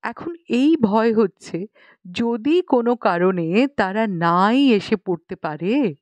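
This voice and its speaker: A woman's voice: medium pitch, lively intonation, takes it slowly, darkish tone, no echo. A woman reading a literary story as an audiobook narrator, in a scripted narration style.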